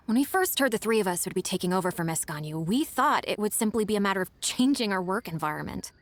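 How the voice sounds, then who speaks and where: A woman's voice: mid-range voice, lively intonation, quick pace, slight background noise, lightly acted. A woman playing an overworked, aggrieved young female secretary in a video game. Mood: frustrated, weary.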